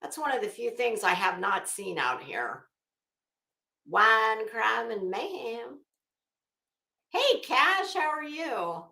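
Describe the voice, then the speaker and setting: Middle-aged woman, livestream host, unhurried pace, bright voice, casual teasing chat, salty language. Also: fairly high pitch, very animated, creaky. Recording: echoey room.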